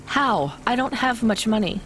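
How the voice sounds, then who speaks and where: A woman's voice: a little high, expressive, normal speed, fairly bright tone, slight background noise. A woman voicing a wry, level-headed young delivery driver in a video game, with a dry, questioning manner. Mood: guarded. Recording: music behind the voice.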